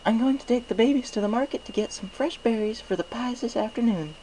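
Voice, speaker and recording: A sweet motherly pony baker, voiced by a man, lifted high above a normal male register. She is affectionate and homely, a storybook wife with faint noise on the recording. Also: quick pace, warm tone, low-quality recording.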